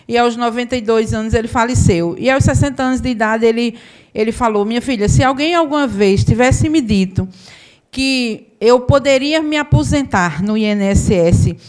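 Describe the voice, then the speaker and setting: A woman's voice: fairly high pitch, a little mellow, clean tone. A woman, an accountant and business owner telling her story in a conversational live talk to an audience. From Paraíba, Brazil. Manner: conversational, often asks the audience "né?".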